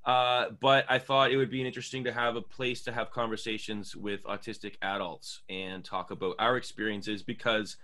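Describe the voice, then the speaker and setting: A Canadian man hosting a podcast in a casual, conversational way, pausing as he thinks. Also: fairly low pitch, flat delivery, pushed and bright.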